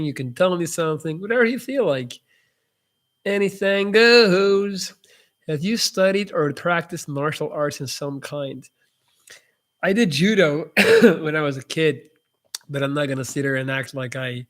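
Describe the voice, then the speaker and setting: Young man, dutch native speaker, live q&a host, casual rambling, restarts, slightly raised pitch. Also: a bit bright. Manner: reads chat messages aloud and answers loosely, with frequent restarts. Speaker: livestream host answering viewer questions.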